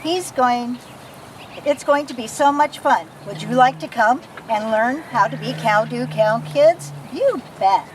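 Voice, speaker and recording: A woman's voice: on the high side, lively intonation, measured pace. A woman reading a children's picture book aloud expressively, as if to young listeners. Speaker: storybook reader. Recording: noisy recording, distant from the mic.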